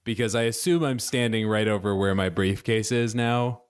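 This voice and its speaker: A man's voice: fairly low pitch, moderate pace, smooth. A man chatting casually as a player in a tabletop roleplaying game.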